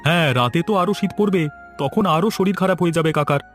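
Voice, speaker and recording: A man voicing a brisk, obliging village man in a cartoon, speaking in an agreeable, conversational way. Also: high voice, soft background music, natural voice. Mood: concerned. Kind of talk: cartoon voice acting.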